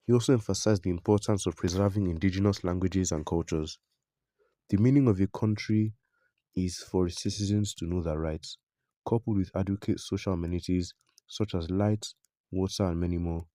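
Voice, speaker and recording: A man narrating a news report in a scripted, even read, quoting an interview and reading out public comments. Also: deep voice, dry recording, studio quality.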